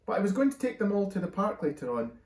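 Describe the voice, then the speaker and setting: Man reading as a tired, polite mother, raised pitch, roomy. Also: big pitch swings, quick pace, darkish tone. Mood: weary, polite. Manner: weary, politely put-upon. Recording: roomy echo.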